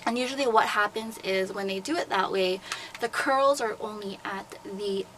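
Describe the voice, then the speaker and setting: A young woman with a sore, hoarse throat, chattily explaining step by step how she curls her hair. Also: medium pitch, normal speed. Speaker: beauty vlogger and hairstylist. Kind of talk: casual hair tutorial. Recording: noticeable background noise.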